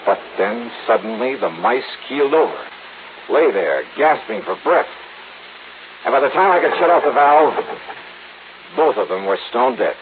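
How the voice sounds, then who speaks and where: A man's voice: mid-range voice, very animated, normal speed, pauses often, close to an everyday voice. An actor playing a shrewd, wry male insurance investigator in a 1950s radio detective drama. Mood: tense narration. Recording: noisy, tinny old radio recording.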